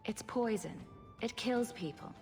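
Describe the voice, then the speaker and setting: A woman's voice: a little high, a little slow, a little mellow, in a large hall, faint music behind, lightly acted. A woman voicing an assertive, self-assured young female adventurer in a video game. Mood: firm.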